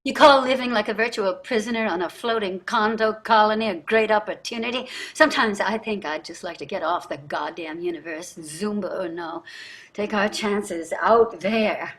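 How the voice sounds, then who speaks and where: Woman playing a bright-voiced, exasperated wealthy wife. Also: mid-range voice, moderate pace, close to an everyday voice. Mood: troubled, weary. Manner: earnest, emphatic, exasperated. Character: wealthy, anxious, guilt-ridden wife, indignant and combative. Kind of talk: staged play reading, marital argument.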